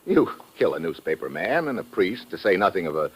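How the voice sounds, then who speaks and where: An actor playing a tough, wry investigator in a 1950s radio drama, with sardonic, clipped delivery. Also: male voice, sits low, very animated, a little fast, breathy edge, full character voice. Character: tough, wry male investigator narrating his adventure. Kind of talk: hard-boiled 1950s radio drama acting.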